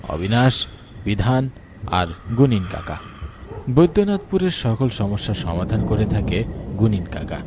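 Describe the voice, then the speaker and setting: A man's voice: fairly low pitch, very animated, measured pace, long pauses, background noise. A man narrating a scripted, dramatic spooky story, with music underneath.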